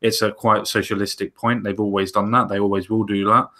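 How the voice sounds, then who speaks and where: A man's voice: on the low side, flat delivery, brisk, bright, forceful tone. A man talking in a casual podcast discussion, opinionated and emphatic.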